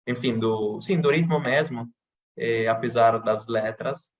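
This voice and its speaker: Italian-accented man, halting and casual. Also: medium pitch, lo-fi sound. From Italy. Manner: hesitant, many restarts and fillers. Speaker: interviewee, channel host. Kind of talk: casual podcast interview.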